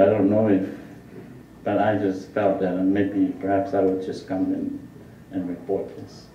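A man in his sixties making a plain public comment to a council, hesitant and halting. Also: low-pitched, warm tone, recorded from a distance, a little hiss or hum. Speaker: resident addressing a council. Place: podium in large council chamber. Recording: dull, echoey room pickup from afar.

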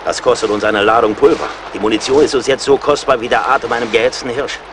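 An actor in a German radio play as a seasoned, relentless frontier scout, sardonic, terse and loyal. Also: male voice, mid-range voice, fairly even intonation, quick pace, lightly acted. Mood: commanding, combative. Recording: clear battle and outdoor noise behind.

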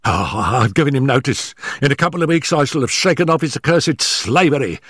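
A man reading an audiobook, voicing a nervous male estate manager who stammers and talks in hurried, breathless bursts. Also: mid-range voice, animated, fairly bright tone, breathy edge, no room sound, studio quality, pitched above the performer's own voice. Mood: bitter, pleading.

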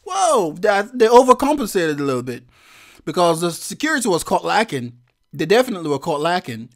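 A man giving a profane, slangy reaction commentary as a vlogger, with loud laughing outbursts. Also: high-pitched, big pitch swings, brisk.

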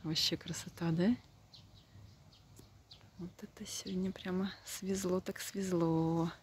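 A woman's voice: fairly low pitch, level tone, slow pace, darkish tone. A woman with a Russian accent, chatting casually and exclaiming with enthusiasm as a travel vlogger on a handheld phone. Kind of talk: casual chat and vlog narration. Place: outdoors by the sea and in a car. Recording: noisy outdoor and car phone recording.

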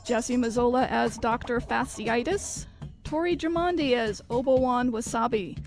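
A woman's voice: fairly high pitch, moderate pace, darkish tone, soft background music. A young woman reading scripted announcements as host of a live student radio broadcast. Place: college radio studio.

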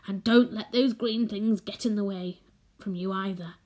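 A tiny, bald, whiskered old man, put on by a woman reading aloud speaks slowly in a creaky, hushed voice. He coaxes in drawn-out whispers, a sly and eerie storybook figure. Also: medium pitch, steady tone, lightly acted. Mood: urgent instructing. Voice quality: slow and creaky. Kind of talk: audiobook character voice.

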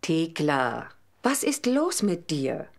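A woman's voice: low voice, big pitch swings, slow, vocal fry, full character voice. An actress playing a worried mother in an audio drama, reproaching and pleading with her grown daughter. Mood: worried, reproachful. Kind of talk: German audio drama acting.

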